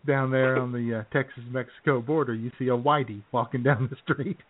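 A man's voice: medium pitch, fairly even intonation, a little fast, narrow, tinny audio. A man co-hosting a radio show in casual comedic banter, with a dry, joking manner.

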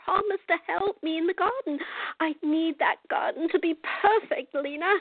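A woman's voice: extremely high pitch, moderate pace, no room sound, narrow, tinny audio. A woman playing a stubborn, fair-minded old woman, cantankerous yet composed, in a radio theater drama. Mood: firm.

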